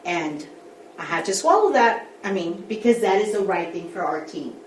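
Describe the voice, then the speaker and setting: A woman's voice: on the low side, animated, normal speed, a little hiss or hum. Candid woman coaching a board meeting. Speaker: school board member leading a training. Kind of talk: workshop discussion. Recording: echoey room, distant mic.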